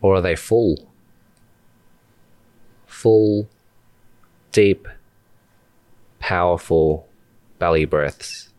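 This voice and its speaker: Guy doing guided meditation, deep voice, calm n deliberate, lots of pauses, really dry close sound. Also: no echo. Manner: calm, deliberate, with long pauses between short phrases. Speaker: meditation guide and podcast host.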